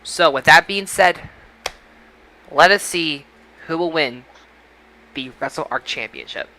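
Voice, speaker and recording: A man's voice: on the high side, lively intonation, unhurried, pushed and bright, a little hiss or hum. A man hosting a casual podcast results show, talking in an announcer-like way and repeating things for effect. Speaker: podcast host.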